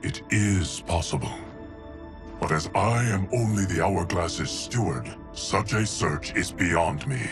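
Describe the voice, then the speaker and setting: A stoic guardian of time from a video game, speaks in a very low, unhurried, solemn tone. Each word lands measured and weighty. A clear musical score plays underneath. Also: male voice. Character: ancient stoic male timekeeper guardian. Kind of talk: video game cutscene acting. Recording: game audio with music bed.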